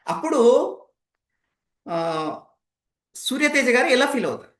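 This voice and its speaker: A man's voice: extremely high pitch, very animated, slow pace, fairly bright tone. A man leads an interactive training session as a speaking coach, explaining emphatically and putting rhetorical questions to participants. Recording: echoey, roomy sound.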